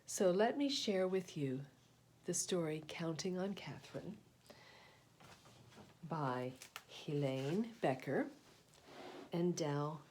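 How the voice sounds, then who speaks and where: A woman reading a children's picture book aloud, with deliberate, expressive phrasing and short explanatory asides. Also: low-pitched, a little hiss or hum. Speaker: read-aloud host, storyteller.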